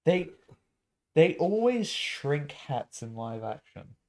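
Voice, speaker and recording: A man's voice: fairly high pitch, very animated, slow pace. A man co-hosting a podcast, chatting casually and bluntly with plenty of swearing and slang. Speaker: podcast co-host. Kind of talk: casual chat.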